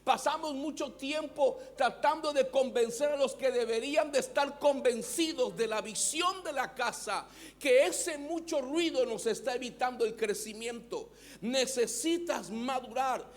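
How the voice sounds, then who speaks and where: A man's voice: extremely high pitch, unhurried. A man with a Mexican Spanish accent, preaching a sermon as pastor and having the congregation repeat words after him.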